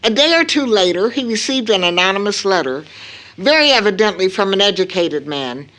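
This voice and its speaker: An actress playing a grave, anguished middle-aged mother in an audio drama, speaking in a solemn, deliberate and emphatic way. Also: medium pitch, very animated, fairly bright tone. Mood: solemn, intense recounting. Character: grave, anguished mother baring a long-kept family secret. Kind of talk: stage audio drama acting.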